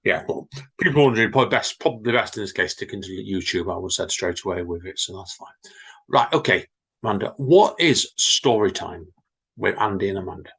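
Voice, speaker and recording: A man co-hosting a story podcast, chatting casually and reading his own poem in a slow, reflective way. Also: on the low side, lively intonation, creaky, fairly bright tone, breathy edge, low-quality recording. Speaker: podcast co-host, poet. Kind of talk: casual chat and poetry reading.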